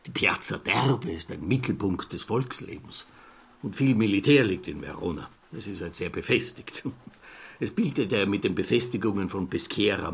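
An actor playing a chatty, self-important greying traveller of about fifty in a radio play, rambling along with an Austrian accent and rhetorical questions. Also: male voice, deep voice, a bit bright, husky, full character voice.

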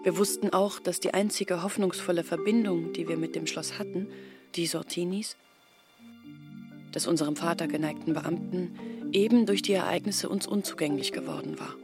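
A woman's voice: fairly low pitch, steady tone, moderate pace, music in the background, lightly acted. An actress playing a poor village woman confessing her family's hopeless decline in a literary radio drama monologue. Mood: confessing, resigned. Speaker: narrating character in an audio drama. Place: dry studio.

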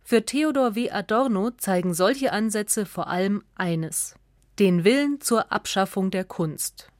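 A woman narrates a scripted essay for radio in a medium-pitched voice. Her reading is measured and articulate, recorded in a very dry studio with little room sound. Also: expressive, no room sound.

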